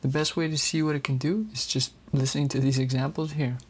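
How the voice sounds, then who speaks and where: A man hosting a casual tech video, explaining software in a chatty, candid way. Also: medium pitch, fairly even intonation, fairly quick, slightly rough. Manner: chatty, candid about frustrations.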